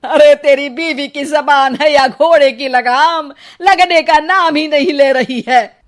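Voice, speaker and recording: A woman's voice: sits high, takes it slowly. A woman voicing a nagging, quarrelsome mother-in-law in a cartoon, scolding and sarcastic. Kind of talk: cartoon voice acting.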